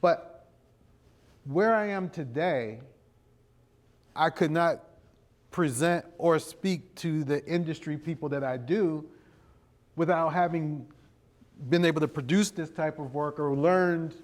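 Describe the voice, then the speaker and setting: Middle-aged american guy being interviewed, slightly high voice, explains stuff casually, lots of check-ins. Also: takes it slowly, pauses often. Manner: explanatory, punctuated with "you know" and "right?" check-ins. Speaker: interview guest, creative director and video producer. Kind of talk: conversational interview answers before a live audience.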